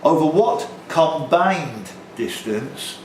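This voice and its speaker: A man's voice: a little high, animated, takes it slowly, darkish tone. A man hosting a pub quiz, reading questions aloud with chatty, teasing, jokey asides. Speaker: quiz host, question reader. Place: tavern quiz room. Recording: roomy, faintly noisy live venue recording.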